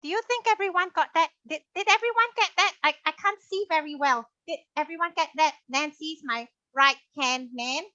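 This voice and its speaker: Woman putting on a squeaky little girl lamb puppet, bright and bouncy, dull video call sound. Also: no echo. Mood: cheeky. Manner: playful, quick and squeaky. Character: cheeky young female lamb puppet with big glasses.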